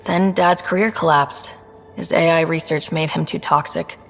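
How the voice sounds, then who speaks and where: A curious young explorer with a creaky voice. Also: female voice, sits low, steady tone, moderate pace, a bit bright, a little hiss or hum. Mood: curious, friendly. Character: young female human explorer, curious, earnest commander. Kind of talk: video game dialogue acting. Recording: long reverb, music behind.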